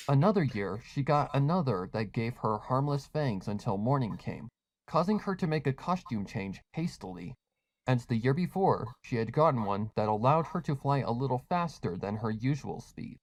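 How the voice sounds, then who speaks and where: A man's voice: medium pitch, lively intonation, moderate pace, dry recording. A man reading a scripted horror fan fiction story as its narrator.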